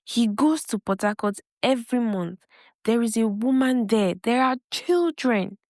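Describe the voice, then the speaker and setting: A woman's voice: on the high side, unhurried, darkish tone, no room sound, studio quality. A woman voicing a worried, protective brother with a grave, insistent manner. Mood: grave, urgent. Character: worried, protective brother pleading with his sister.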